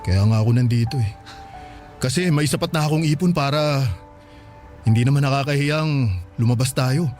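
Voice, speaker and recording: A man's voice: medium pitch, normal speed, long pauses, darkish tone, soft background music, lightly acted. A man playing a shy, smitten young Filipino market porter in a radio drama, coaxing and pleading. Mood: shy, earnest. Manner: pleading, sheepish.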